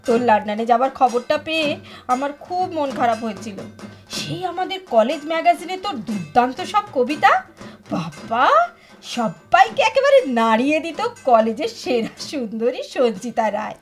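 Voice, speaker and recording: Actress for effusive, sentimental housewife in a radio play, high expressive voice, music clearly underneath. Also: female voice. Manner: gushing, dramatic, expressive. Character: effusive, sentimental Bengali housewife delighted by old friend. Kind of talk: Bengali audio drama acting.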